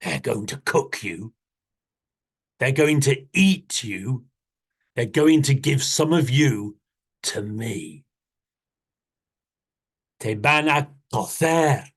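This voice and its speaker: Hungry storybook jaguar, low, growling and slow, as a storyteller puts him on. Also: animated, husky, heavily acted. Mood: scheming. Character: big hungry menacing jaguar. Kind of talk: bilingual children's storytelling.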